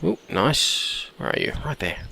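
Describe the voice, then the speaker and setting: A man with a hoarse, croaky voice from a cold, chatting casually while he plays and often clearing his throat. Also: a little slow, pushed and bright, a little hiss or hum. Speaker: gameplay commentator. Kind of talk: casual let's-play commentary.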